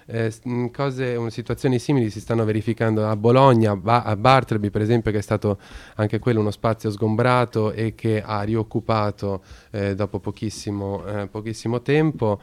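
A man hosting a radio talk, speaking hesitantly with many pauses and filler sounds. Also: fairly low pitch, level tone, clean tone. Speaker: radio host.

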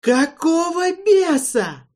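A man's voice: high voice, lively intonation, measured pace, voice pushed up. A man voicing a hysterical, spiteful young male king who throws childish tantrums. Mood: furious. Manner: shrieking, petulant, childish tantrums.

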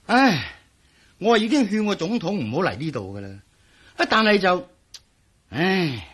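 An actor playing a worried, blustery police officer in a radio drama, speaking Hong Kong Cantonese with emphatic, sighing delivery. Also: male voice, sits high, very animated, a little slow, a bit bright, slightly rough, heavily acted. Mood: serious, worried.

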